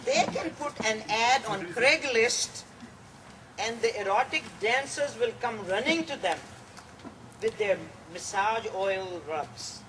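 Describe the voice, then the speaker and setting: A woman from Pakistan with a Pakistani accent, performing a satirical monologue in a deliberate, dramatic way. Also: fairly high pitch, expressive. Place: live venue, cafe. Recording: distant mic, clear background noise.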